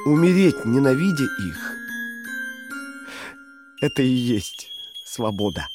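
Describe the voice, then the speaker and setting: An actor playing a broken but inwardly defiant political prisoner in an audio drama, halting and brooding in his delivery. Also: medium pitch, very animated, over music.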